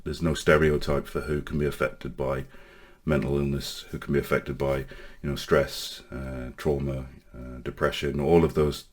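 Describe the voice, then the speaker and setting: A man in his forties from Northern Ireland with a mostly English accent, telling his life story to camera in a halting way, with many pauses and restarts. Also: a bass voice, little pitch movement. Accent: English with Northern Irish traces. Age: 40s. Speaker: online safety vlogger.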